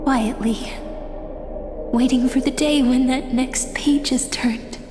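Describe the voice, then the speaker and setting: A gentle young guardian of time speaks softly and slowly in a high, wistful voice that often drops to a whisper. Long reverb and faint music trail behind her in this game cutscene. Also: steady tone. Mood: tender.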